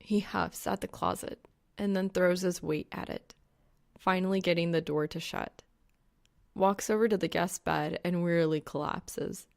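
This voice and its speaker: Female audiobook narrator, lowish register, slow scripted read, creaky texture. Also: fairly even intonation. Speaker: audiobook narrator of fan fiction.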